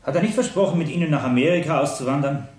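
An actor playing a formal, coolly courteous state prosecutor in a radio play, probing a witness in measured legal German. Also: male voice, fairly high pitch, darkish tone, lightly acted. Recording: echoey 1970s radio drama studio.

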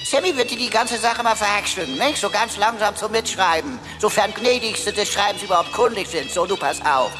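An actress playing a sarcastic, wisecracking robot sidekick with a mocking, mock-pompous, slangy way of talking, in a radio drama. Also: female voice, mid-range voice, fairly quick, few pauses, over music, lightly acted.

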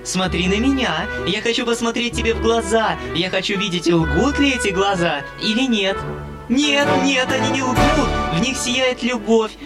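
A man reading an audiobook, voicing an excitable older spinster woman who gushes in breathless exclamations. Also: high voice, moderate pace, loud music behind the voice, pitched above the performer's own voice, lightly acted. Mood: ecstatic.

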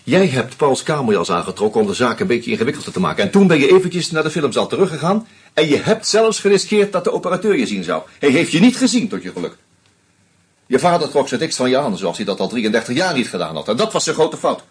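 An actor playing a shrewd screenwriter who methodically unmasks a killer, with a husky voice and dry irony. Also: male voice, mid-range voice, big pitch swings, fast talker. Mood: accusing. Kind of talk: radio play acting.

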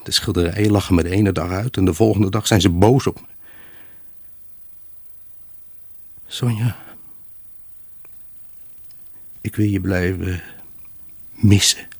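An actor playing a lonely, grieving husband in an intimate radio drama monologue, brooding, halting and emotionally raw. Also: male voice, low voice, steady tone, darkish tone, husky, dry recording. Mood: bitter, resigned. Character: grieving, haunted husband, lonely, obsessive and pleading. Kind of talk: Dutch radio drama monologue, intimate first-person narration.

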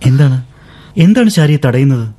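An actor playing an earnest young suitor who gently coaxes a woman for an answer. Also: mid-range voice, expressive, moderate pace, natural voice. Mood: coaxing. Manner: gentle and coaxing. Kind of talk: 1970s radio drama acting.

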